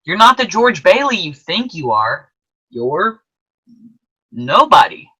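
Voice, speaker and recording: Earnest apprentice angel for radio drama, played by an actor: forceful, unhurried, very lively pitch, emphatic. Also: slightly rough, full character voice. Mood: excited, explaining. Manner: animated, emphatic, deliberate. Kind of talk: old-time radio play acting with narration to listeners.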